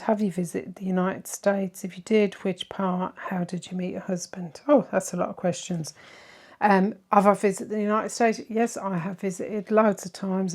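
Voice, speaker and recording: A middle-aged woman with a softened Irish accent, chatting casually as she answers viewer questions. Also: mid-range voice. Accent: Irish, softened by decades in southern England. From Ireland. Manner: chatty and warm-hearted, many "um"s and tag questions. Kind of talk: casual Q&A chat.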